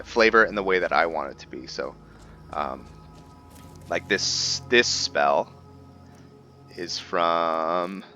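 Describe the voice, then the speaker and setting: A man giving casual gameplay commentary, thinking aloud with halting, hesitant pauses. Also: low-pitched, bright, forceful tone. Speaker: gamer host of a roleplay series.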